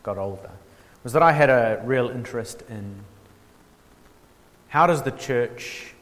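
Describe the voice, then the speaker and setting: A man gives a relaxed workshop talk at a middle pitch. He pauses often with ums and restarts, and adds a dry, self-mocking humour. His voice carries a long hall reverb. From New Zealand. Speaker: workshop speaker on theology. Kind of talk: informal lecture.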